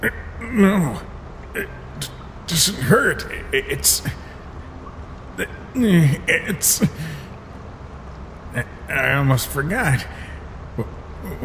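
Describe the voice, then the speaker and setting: A tired, protective skeleton monster acted by a man in a dramatic comic dub. He talks slowly and haltingly with a resigned air, his pitch moving freely, over clear background noise. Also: heavily acted. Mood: shaken, stammering. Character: weary, guilt-ridden male skeleton monster, protective and gentle.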